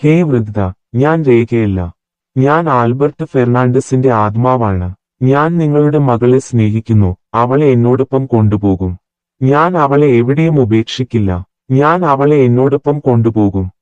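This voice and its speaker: A man's voice: medium pitch, a little slow, a little mellow, no echo, natural voice. Man voicing a muffled, menacing male ghost. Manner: threatening, repetitive declarations. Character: menacing, obsessive male ghost possessing a young girl. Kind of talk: cartoon story voice acting. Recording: muffled, with clear background music.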